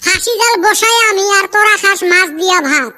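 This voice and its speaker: A squeaky cartoon villager, voiced by a man, grumbles and curses in a northern Bengal village dialect. His voice sits very high and sounds sharp and bright, with lively rural banter. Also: brisk, exaggerated voice. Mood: grumbling. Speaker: cartoon character.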